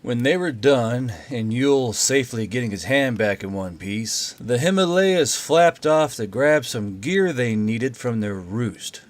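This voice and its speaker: A man's voice: mid-range voice, animated, a little slow, hardly any pauses. A man narrating a story in audiobook style as the host and reader.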